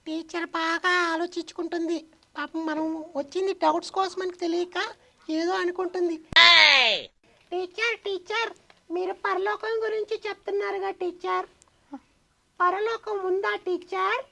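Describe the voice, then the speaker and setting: A woman's voice: very high-pitched, fairly even intonation, normal speed, creaky, fairly bright tone, full character voice. A woman voicing a cheeky, vain little boy puppet who whines and argues in a children's puppet show. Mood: eager, sly. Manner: whiny, argumentative, boastful.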